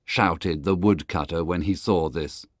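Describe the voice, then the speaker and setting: A man's voice: sits low, measured pace, no room sound, clean studio sound. A man reading a scripted children's tale as its storybook narrator.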